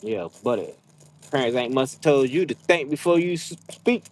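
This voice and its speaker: A young adult man with hosts a casual livestream, talking trash to his viewers in an animated, drawling, slangy way. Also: medium pitch, moderate pace. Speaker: livestream host. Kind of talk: casual live chat with viewers. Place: outdoors, frogs nearby.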